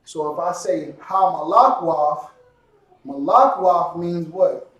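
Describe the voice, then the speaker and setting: A man teaching a language class, drilling words slowly and quizzing his student. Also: fairly high pitch, a bit bright, distant mic, dull audio. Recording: echoey room, voice from off-mic.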